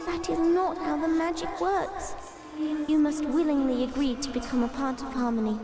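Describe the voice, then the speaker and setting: A woman's voice: sits high, steady tone, normal speed. A woman playing a dying magical tree seed spirit in a fantasy audio drama, speaking in a formal, grave, earnest way. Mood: pleading.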